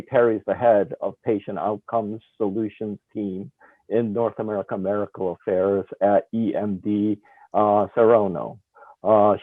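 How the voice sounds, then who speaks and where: A man at the front of a town panel introducing the next guest in a low, dry-sounding voice, halting, slipping in a joke. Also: dry recording, phone-quality sound. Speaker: event moderator introducing speakers. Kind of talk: hosting a community discussion, introductions.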